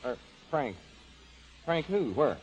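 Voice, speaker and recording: An actor playing an exasperated, self-important but ignorant country fellow with a rural Southern accent, folksy and scolding, in 1940s radio comedy. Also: male voice, a little high, sing-song, takes it slowly, breathy edge, exaggerated voice. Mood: bemused. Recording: dull 1940s radio broadcast recording.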